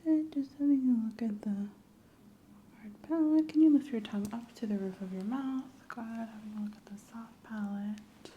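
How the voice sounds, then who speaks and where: A soft-spoken woman in an ASMR medical roleplay, gently and calmly playing an examiner, often dropping into a whisper. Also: on the high side, dull audio. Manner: gentle, reassuring, unhurried clinical narration. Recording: roomy echo, faint ambient noise.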